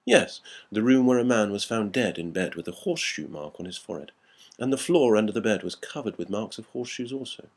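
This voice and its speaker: A man playing an older, reflective gentleman who recalls ghostly events in a hesitant, old-fashioned, musing way, as in a radio story. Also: on the low side, lively intonation, a little fast.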